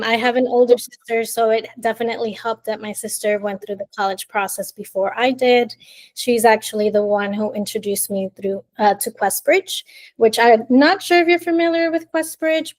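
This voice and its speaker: A woman in her late twenties, from Colombia and raised in Miami, telling her story as a podcast guest in a warm, chatty way. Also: on the high side. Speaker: interview guest. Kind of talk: casual storytelling in an interview.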